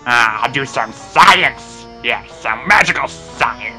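A man's voice: mid-range voice, lively intonation, takes it slowly, pushed and bright, faint music behind, exaggerated voice, low-quality recording. A man voicing a moody old ape sorcerer in an amateur cartoon parody, rambling theatrically and bossing others around. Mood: grumbling, dramatic. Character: cranky, moody old male ape who dabbles in magic.

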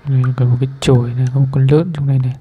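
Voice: mid-range voice, level tone, very fast, husky, phone-quality sound, faint music behind. A gamer giving casual gameplay commentary, muttering short reactions and questions. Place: indoors, filming a handheld screen being played. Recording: noisy room, tapping clicks.

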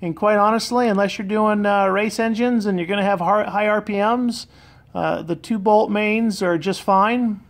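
Higher-pitched American man thinking aloud. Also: fairly even intonation, normal speed. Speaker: DIY boat restorer and vlogger. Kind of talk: casual unscripted walkthrough. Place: garage with concrete floor. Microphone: handheld camera, operator behind it.

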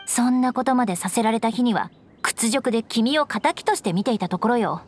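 A woman's voice: fairly high pitch, animated, a little fast, lightly acted. A woman voicing a proud, aloof young noblewoman knight in an anime game, with composed, elegant, haughty phrasing. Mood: defensive. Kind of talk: Japanese anime game voice acting. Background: orchestral game music with strings.

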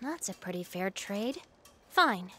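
A woman's voice: mid-range voice, lively intonation, takes it slowly, bright, forceful tone, heavily acted. A woman playing a confident young female mechanic in a video game, matter-of-fact and dryly humorous. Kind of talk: video game cutscene acting.

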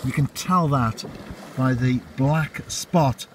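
A man's voice: mid-range voice, takes it slowly, a little hiss or hum. A man in his fifties, filming himself on seaside rocks with a phone at arm's length, chatting unhurriedly to his viewers about his day. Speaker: fishing vlogger. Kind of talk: casual outdoor narration to camera. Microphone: phone camera at arm's length.

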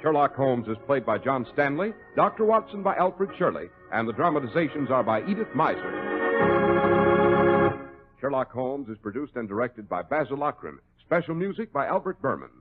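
A man's voice: mid-range voice, big pitch swings, normal speed. A man working as a radio announcer in the polished style of 1940s broadcasts. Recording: thin, phone-like old radio recording with loud music.

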